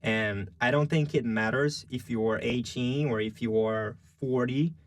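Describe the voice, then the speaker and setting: A man's voice: medium pitch, unhurried. A young man from Brazil with a Brazilian Portuguese accent, a journalism student answering interview questions casually, full of "you know" and "like". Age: young adult. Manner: chatty, lots of fillers like "you know" and "like".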